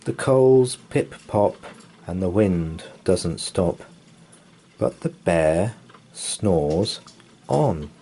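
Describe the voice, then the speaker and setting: Slow, low-voiced man reading a bedtime story. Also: expressive, warm tone, a little hiss or hum. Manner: slow, sing-song storytelling. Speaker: story reader. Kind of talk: bedtime story read-aloud.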